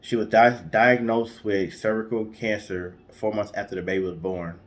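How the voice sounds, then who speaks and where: Male co-host for storytime, lowish voice, informal narration from notes. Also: level tone, moderate pace. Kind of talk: casual storytelling read from notes.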